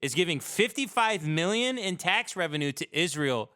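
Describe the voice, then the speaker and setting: American man, forceful bright voice, dry close sound, casual opinionated rant, rambling, swearing. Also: high voice, expressive, normal speed, smooth, no room sound. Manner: profane, emphatic, rambling. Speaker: podcast host.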